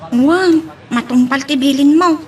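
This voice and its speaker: A woman playing an excitable, nosy housemaid in an Ilocano radio drama, exclaiming and gossiping. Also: high-pitched, moderate pace, a little hiss or hum, close to an everyday voice. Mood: startled, compliant.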